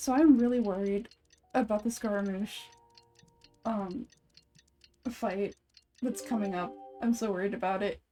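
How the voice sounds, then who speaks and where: A woman chats casually in the middle of playing a game. Her voice is mellow and relaxed, with faint music playing behind it. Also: medium pitch, measured pace, pauses often. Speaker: amateur voice actor streaming a game. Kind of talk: casual chat while playing.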